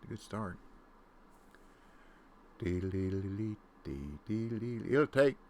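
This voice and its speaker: A man with a deep voice giving casual commentary on his own gameplay, muttering to himself and humming nonsense tunes. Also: lively intonation, measured pace, a little mellow. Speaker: gaming YouTuber.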